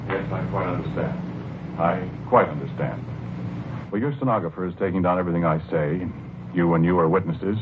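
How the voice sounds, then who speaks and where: An actor in an old radio drama playing a tormented American man in his forties, halting, polite and weary but insistent. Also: low-pitched, background noise, lightly acted. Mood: weary, resigned. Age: 40s. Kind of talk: 1940s radio drama acting. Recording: old muffled radio recording with organ music.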